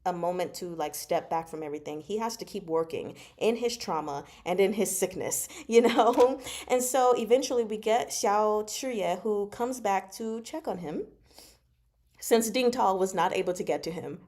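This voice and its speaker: A woman giving a casual, chatty book recap as a host, expressive and emphatic, often checking in with the listener. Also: mid-range voice. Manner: expressive, conversational, emphatic, often checks in with "okay?" and "you know". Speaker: host of a book discussion vlog.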